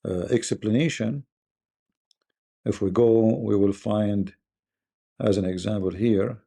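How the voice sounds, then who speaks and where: Arabic-accented guy hosting a debate stream, gravelly and husky, blunt and scornful. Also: lowish voice, slow pace, mellow. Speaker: host. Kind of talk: live debate stream talk.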